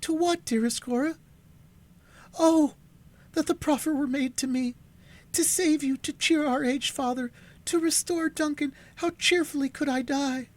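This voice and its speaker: A man doing a trembling, high young girl. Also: unhurried. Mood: pleading. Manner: pleading, tearful.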